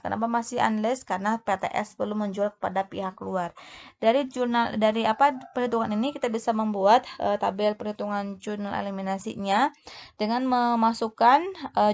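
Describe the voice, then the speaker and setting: A woman explaining as an accounting instructor in a semi-spontaneous tutorial, halting and restarting as she goes. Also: medium pitch.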